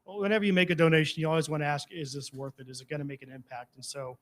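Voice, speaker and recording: A man with a somewhat high voice gives an informal testimonial to a live audience. He talks very quickly and in a chatty way. His manner moves between joking and earnest. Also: fairly even intonation, fairly bright tone. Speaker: guest speaker, alumnus performer of the theater.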